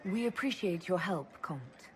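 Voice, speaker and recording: An actress playing a supportive, plain-spoken woman leader in a video game, asking questions in a measured, direct way. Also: lowish voice, animated, a little hiss or hum. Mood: agreeable. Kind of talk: video game cutscene acting.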